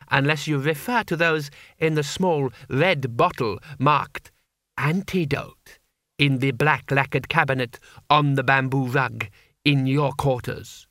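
A man's voice: mid-range voice, sharp tone, dry recording, studio quality. A man voicing an imperious boy emperor who speaks in ornate, mock-courteous phrases with polite menace, as in an audiobook story. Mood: silky threat.

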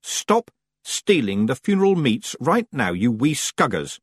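A man's voice: mid-range voice, big pitch swings, unhurried, slightly rough, no echo, studio quality, voice pushed up. A man voicing a stern, bossy and inquisitive young female witch in an audiobook. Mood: stern, demanding. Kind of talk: audiobook character voice.

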